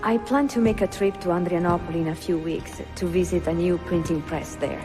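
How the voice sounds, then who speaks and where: An actress playing a cultured, gracious and gently playful Italian woman in a video game, with an Italian accent. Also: fairly low pitch, fairly even intonation, fairly quick, natural voice. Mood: gracious, conversational. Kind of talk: cinematic video game acting. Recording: music behind the dialogue.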